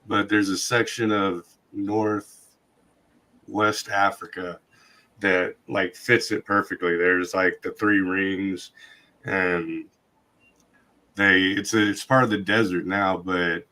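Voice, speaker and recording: A man hosting a podcast in casual chat, his voice hoarse and scratchy from a long night of talking. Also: low voice, level tone, takes it slowly, pushed and bright. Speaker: podcast host.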